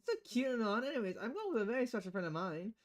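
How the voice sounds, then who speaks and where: A woman's voice: fairly high pitch, big pitch swings, racing. A woman streaming a visual novel, reading the dialogue aloud with casual, playful commentary and switching voices between lines.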